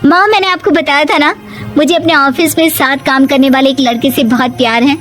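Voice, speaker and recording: A woman plays a lovestruck young daughter in a cartoon tale. Her voice is very high and emotional as she pleads, with faint music behind. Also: fairly even intonation, a little fast, fairly bright tone. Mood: pleading.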